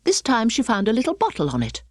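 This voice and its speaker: A middle-aged British woman narrating a children's storybook with precise, expressive delivery. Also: a little high, quick pace, no echo, clean studio sound. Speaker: audiobook narrator. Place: dry studio.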